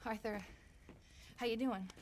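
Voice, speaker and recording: Over clear background noise, a worried frontier woman played by an actress speaks a little high. She is hesitant and pleading, in the style of a western game drama. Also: fairly even intonation, a little slow, close to an everyday voice. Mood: anxious, pleading.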